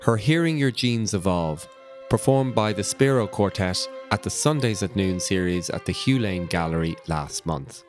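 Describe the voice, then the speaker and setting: A man's voice: on the low side, expressive, normal speed, soft background music. A man hosting a podcast, reading scripted introductions and putting questions to his guest. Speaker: podcast host, interviewer.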